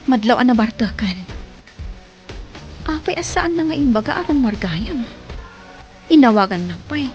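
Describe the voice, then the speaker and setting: A young Ilocano girlfriend in a radio drama, played by a woman. Her voice sits fairly high. Clear music plays behind her. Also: expressive, moderate pace, pauses often, darkish tone, dull audio, close to an everyday voice. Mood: hurt, disappointed. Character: Megan, hardworking young woman, hurt by deceiving boyfriend.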